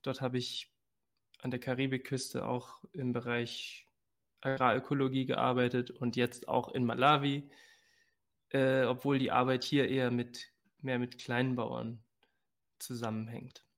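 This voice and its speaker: A man's voice: mid-range voice, level tone, measured pace. A man talking conversationally as a guest on a podcast. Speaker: podcast guest, agroecology worker.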